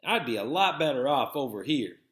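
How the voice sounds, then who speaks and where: A man's voice: medium pitch, big pitch swings, normal speed, a bit bright, roomy sound. A man voicing a sullen, stubborn, sarcastic country boy, complaining, in a storybook reading. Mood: pleading, indignant.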